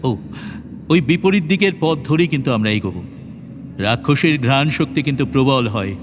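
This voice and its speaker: A man's voice: medium pitch, expressive, normal speed, noisy background, close to an everyday voice. A man playing a commanding, protective fairy-tale king and father in an audio drama, stately and emphatic, often repeating his opening words. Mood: thoughtful. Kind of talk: Bengali audio drama acting. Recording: long reverb, music behind.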